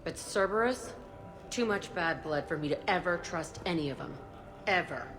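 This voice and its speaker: A woman's voice: lowish voice, animated, moderate pace, lightly acted. A woman playing a tough, fiercely loyal fighter in a video game, speaking bluntly with a hard edge. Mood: defiant. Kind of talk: scripted game dialogue. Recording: music under the voice.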